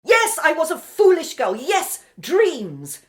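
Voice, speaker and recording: A woman's voice: high-pitched, wildly swooping pitch, slow pace, full character voice. A woman playing a bitter, scornful young woman in a radio drama, accusing and emphatic in her delivery. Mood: furious accusing.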